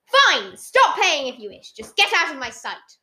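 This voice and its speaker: Woman acting a stern, high-voiced dad. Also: expressive, moderate pace, bright, forceful tone. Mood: angry. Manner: cutting, firm. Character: tired, stern father losing his temper.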